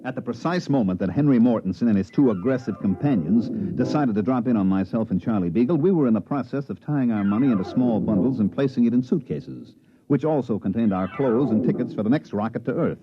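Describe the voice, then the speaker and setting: A man's voice: medium pitch, expressive, fast talker, very noisy, natural voice. An actor playing a brazen male con man in a 1950s radio drama, glib and slangy. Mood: wry narrating. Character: fast-talking, brazen male swindler narrating his own con. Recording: dull vintage 1950s radio recording.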